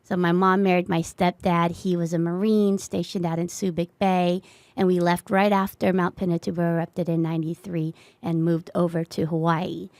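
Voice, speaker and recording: A woman's voice: fairly low pitch, level tone, normal speed, darkish tone, no echo. A Philippines-born woman whose first language is Tagalog, earnestly telling a personal story in a conversational interview.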